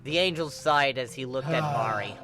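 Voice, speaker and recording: A man reading fan fiction aloud as narrator, in an expressive scripted storytelling style. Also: medium pitch, normal speed, faint music behind.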